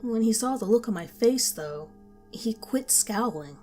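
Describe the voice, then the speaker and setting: A woman narrating a first-person horror story in a calm, measured storytelling manner. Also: on the high side. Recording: faint background music.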